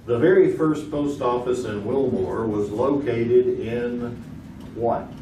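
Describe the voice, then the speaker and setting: A man's voice: mid-range voice, slow, warm tone, recorded from a distance, a little hiss or hum, lightly acted. A man playing a joke-cracking 1950s quiz show host, teasing the contestants with showman patter, in old-time radio stage acting. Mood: joking. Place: theater stage. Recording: roomy live theater recording from afar.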